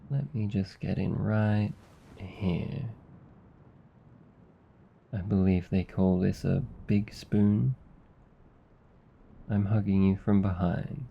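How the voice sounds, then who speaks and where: An Australian man voicing a gentle, caring demon in a soft, intimate, reassuring ASMR roleplay, close to the microphone. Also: low voice, gravelly, breathy edge, highs cut off, heavily acted. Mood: tender, soothing. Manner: soft-spoken, slow, reassuring, rambling explanations. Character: gentle, caring male sleep paralysis demon.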